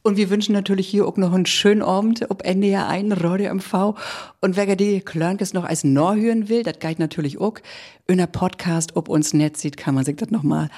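A middle-aged North German woman with a medium-pitched voice and a Low German accent. She interviews conversationally as a radio host. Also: a little fast, a little mellow.

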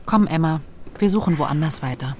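A woman's voice: sits low, animated, moderate pace, dark tone, a little hiss or hum. A woman playing a weary but resolute older sibling in a radio play, with a dry, protective manner. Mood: gentle. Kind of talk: German radio play acting.

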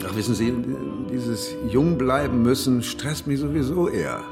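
A man's voice: mid-range voice, expressive, normal speed, music in the background, natural voice. An actor playing a flustered, grumbling editor in his late fifties in naturalistic radio drama, with a hesitant, dryly sardonic way of talking. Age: 50s. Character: flustered, grumbling 59-year-old male newspaper editor.

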